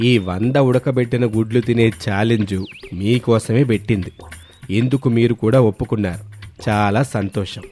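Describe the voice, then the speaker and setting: A man voicing a firm, encouraging middle-aged father-in-law in a cartoon moral story, speaking in a measured, emphatic way. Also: fairly low pitch, dark tone, soft background music, pitched below the performer's own voice, lightly acted. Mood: formal announcing.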